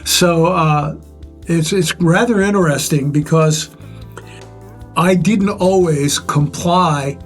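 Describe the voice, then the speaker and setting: An older man with a relatively loud voice, reflectively sharing life stories and advice in measured, pause-filled speech. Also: on the high side, faint music behind. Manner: measured, pausing often with "uh". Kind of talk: reflective conversational storytelling.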